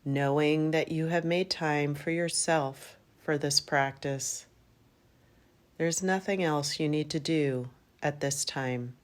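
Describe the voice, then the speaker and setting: A woman leading a guided meditation in a calm, gentle manner, in unhurried phrases with long pauses. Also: deep voice. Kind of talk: guided yoga nidra meditation.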